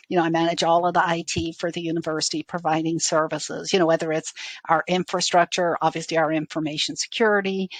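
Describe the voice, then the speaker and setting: A woman's voice: lowish voice, no echo. A middle-aged woman from Dublin with a faded Irish accent gives conversational interview answers, often rephrasing as she goes. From Dublin, Ireland. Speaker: university IT executive, interview guest.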